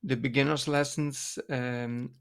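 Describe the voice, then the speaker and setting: A mature man whose first language is Dutch chats on a podcast. He speaks very slowly and haltingly, searching for words, in a mid-pitched voice. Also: fairly even intonation, creaky, smooth. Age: middle-aged or older. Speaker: podcast co-host, dance teacher and coach. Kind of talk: casual podcast discussion.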